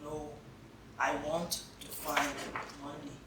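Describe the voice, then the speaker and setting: A woman's voice: low-pitched, flat delivery, takes it slowly, pitched below the performer's own voice. A woman voicing a determined young girl in a storybook, questioning and insistent, in a very echoey room. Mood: worried, insistent. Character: determined young girl searching for her lost hen. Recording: very echoey room, distant mic, faint noise.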